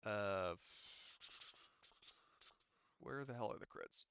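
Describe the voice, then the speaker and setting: A man running a tabletop roleplaying game as game master, casual and sweary, halting as he mutters through the rules. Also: lowish voice, creaky, a bit bright, dull audio, a little hiss or hum. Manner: halting, muttering to himself while checking rules.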